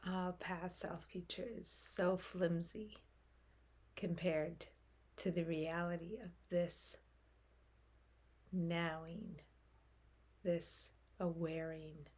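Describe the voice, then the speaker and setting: A woman leading a guided meditation in a soft, breathy voice, speaking gently in short phrases with long pauses. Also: lowish voice, little pitch movement. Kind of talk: guided meditation, slow unfolding instruction.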